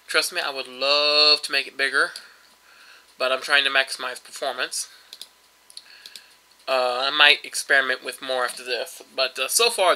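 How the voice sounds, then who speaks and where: A man's voice: on the high side, sharp tone, poor audio. A man casually commentating a game he is playing, rambling and offhand with lots of fillers. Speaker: let's play host.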